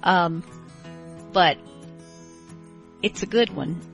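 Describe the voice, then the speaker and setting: A woman's voice: fairly low pitch, drawn-out words, creaky, rough recording. Informal woman radio host over music. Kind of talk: casual radio show introduction.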